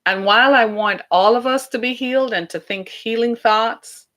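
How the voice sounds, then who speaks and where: A woman's voice: a little high, normal speed, fairly bright tone. A woman from the British Caribbean with a Caribbean accent, hosting a podcast in a chatty, emphatic monologue full of rhetorical questions. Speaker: podcast host.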